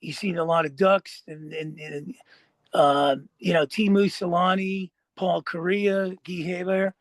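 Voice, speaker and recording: High-pitched man hosting a podcast. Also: fairly even intonation, slow, no echo. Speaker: podcast host. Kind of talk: casual interview chat.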